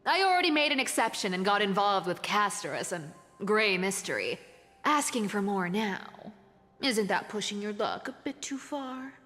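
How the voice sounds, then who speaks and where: An actress playing a sly, guarded trickster woman in a video game, teasing yet wounded, often dropping into hushed asides. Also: medium pitch, sing-song, moderate pace, in a large hall, faint music behind. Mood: teasing, defiant.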